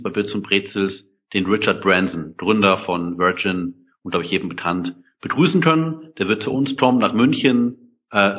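Enthusiastic lower-voiced man, low-fi. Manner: enthusiastic, with many filler sounds. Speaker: event organizer. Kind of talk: interview answers. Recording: dull low-fi sound.

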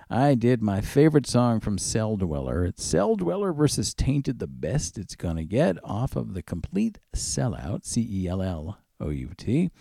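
Male music radio host, relaxed dark tone with some fry, casual and chatty announcing. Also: mid-range voice, no echo, clean studio sound. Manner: conversational, informal.

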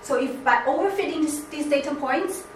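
A woman with a Chinese accent giving a seminar presentation, halting with many restarts and fillers. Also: sits high. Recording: echoey room, faint background noise.

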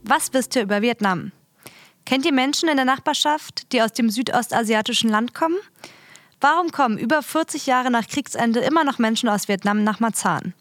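A young woman from Berlin hosts a radio magazine, reading from a script. Her voice sits slightly high and is recorded close and dry. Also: expressive, moderate pace, a bit bright, no echo. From Berlin, Germany.

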